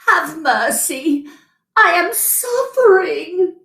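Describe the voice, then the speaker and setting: Storybook fox gentleman with toothache, pleading in slow high wails played by a woman. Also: animated, gravelly, heavily acted. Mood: wailing, pleading. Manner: wailing, drawn-out, tearful begging. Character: suffering, pleading, well-dressed male fox with toothache. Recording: roomy echo.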